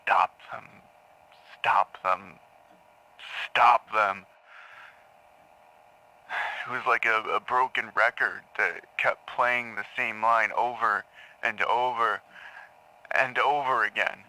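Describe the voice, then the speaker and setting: A man playing a troubled patient in an audio drama, haltingly confessing dark memories to a therapist, stammering and repeating words. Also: fairly low pitch, fairly even intonation, exaggerated voice, rough recording. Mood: anxious, haunted. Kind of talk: audio drama acting, intimate confessional monologue.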